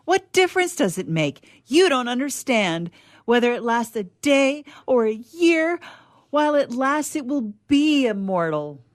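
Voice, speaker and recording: Woman playing a lovestruck girl, lively and stubborn. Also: fairly high pitch, unhurried, dry recording, clean studio sound. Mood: defiant, lovestruck. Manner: earnest, insistent. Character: young woman in love, romantic, stubborn, devoted. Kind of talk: theatrical stage comedy acting.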